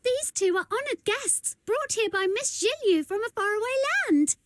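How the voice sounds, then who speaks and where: A woman's voice: extremely high pitch, lively intonation, moderate pace, no echo. A woman voicing a cheery, youthful guide in a video game, friendly and eager to explain. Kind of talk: video game character acting.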